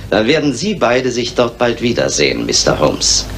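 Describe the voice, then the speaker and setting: An actor playing a vengeful, theatrical murderer in a German radio crime drama, measured, formal and menacing. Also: male voice, medium pitch, wildly swooping pitch, creaky, fairly bright tone, full character voice. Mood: cold menace. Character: vengeful, theatrical male murderer, coldly courteous. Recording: older radio play with background noise.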